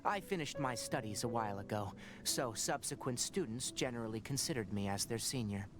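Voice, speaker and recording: A man voicing a calm young scholar who explains things in a measured, composed way in a video game. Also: medium pitch, lightly acted. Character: calm young male scholar and forest ranger. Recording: game dialogue over music.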